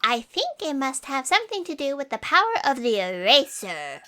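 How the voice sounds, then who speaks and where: A woman's voice: high voice, very animated, moderate pace, bright, forceful tone, exaggerated voice. A woman playing an earnest, dutiful and formal office aide in a comic sci-fi audio drama. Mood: mild, reasonable.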